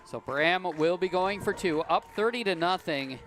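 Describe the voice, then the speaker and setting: A man doing play-by-play for a high school football game, calm and unhurried, his voice a bit worn and cracking. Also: soft background music. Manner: calm, unhurried play calling with easy laughs. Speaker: play-by-play announcer.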